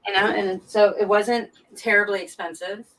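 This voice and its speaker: A woman's voice: mid-range voice, fairly even intonation, normal speed, a bit bright, low-quality recording. A woman chatting casually and personally as a guest on a live stream.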